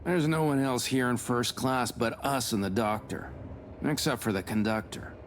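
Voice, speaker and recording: Man acting a gentleman official, period mystery game, practical, plain-spoken, businesslike, average pitch, noticeable background ambience. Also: normal speed, close to an everyday voice. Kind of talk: visual novel voice acting. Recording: clear background noise and ambience.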